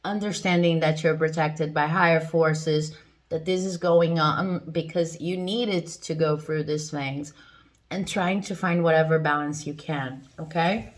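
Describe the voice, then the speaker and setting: Low-voiced woman reading tarot. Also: steady tone, unhurried. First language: Portuguese. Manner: reassuring, explanatory. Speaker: tarot reader. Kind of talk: pick-a-card tarot reading.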